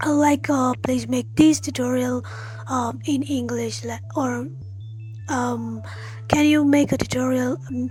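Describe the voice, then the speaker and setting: A woman hosting a tech tutorial, explaining step by step in a halting, friendly way and speaking straight to her viewers. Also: high voice, darkish tone, husky, rough recording. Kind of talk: spoken tutorial walkthrough.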